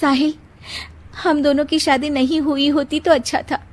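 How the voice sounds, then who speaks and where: A woman's voice: high voice, level tone, normal speed, pitched above the performer's own voice, close to an everyday voice. A woman voicing a sad, resigned young bride in a cartoon story, plaintive and self-blaming.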